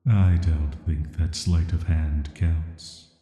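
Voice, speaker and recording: Guy doing a cursed ancient villain for sleep audio, super slow n velvety dark, soft flat flirty menace, echoey. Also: a bass voice. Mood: gentle, intrigued. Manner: soft, flat, soothing, unhurried, intimate. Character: ancient cursed male villain, sealed away, softly flirty, dangerous. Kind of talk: slow atmospheric sleep-aid roleplay audio. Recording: echoey, long reverb.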